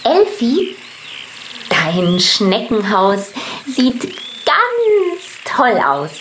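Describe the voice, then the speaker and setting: A woman's voice: on the high side, wildly swooping pitch, extremely slow, fairly bright tone, a little hiss or hum, exaggerated voice. A woman voicing an eager, playful little girl ladybug in a children's audiobook, with a sing-song childlike delivery. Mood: eager, cheerful. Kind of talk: children's audiobook character acting.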